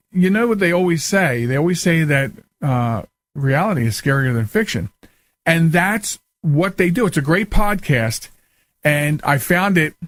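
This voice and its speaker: A man's voice: on the high side, no echo. A man hosting a radio talk show in casual, informal chat, often repeating and rephrasing himself.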